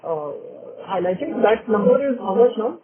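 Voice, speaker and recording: Indian guy analyst, quite high voice, polite questions over a thin phone line. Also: animated, normal speed, over a noisy crowd. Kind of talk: polite earnings call questioning.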